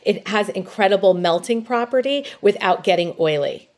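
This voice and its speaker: A woman's voice: medium pitch, animated, normal speed, a little mellow. A middle-aged woman calling in to a podcast advice line, describing her food business in a poised, articulate way. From New Jersey. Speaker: caller. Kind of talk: call-in podcast advice segment.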